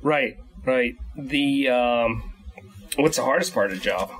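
A man's voice: medium pitch, slow pace, a bit bright, low-quality recording. A man hosting a podcast interview, asking questions in a conversational, playful way. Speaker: podcast host. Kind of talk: casual interview chat.

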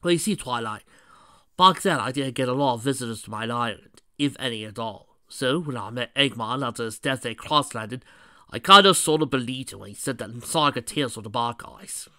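Performer doing a gruff, forceful male echidna guardian. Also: medium pitch, fairly quick, dry recording, clean studio sound, lightly acted. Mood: sheepish. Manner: growling, defensive, blunt.

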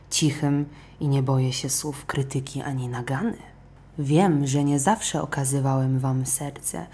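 A woman voicing a proud male lion king who makes a pompous show of humility. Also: sits low. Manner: pompous, grandly addressing his subjects.

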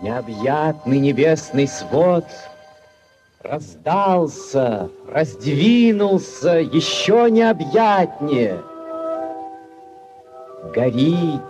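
An actor playing a rapt, lyrical storyteller, declaiming theatrically with long pauses in a 1940s radio drama. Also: male voice, fairly high pitch, very animated, full character voice. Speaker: narrator. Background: prominent music.

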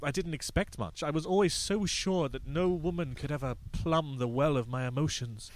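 A man's voice: on the high side, moderate pace, close to an everyday voice, low-quality recording. A man playing a pompous, self-pitying lovestruck husband in a radio play, earnest and self-dramatising.

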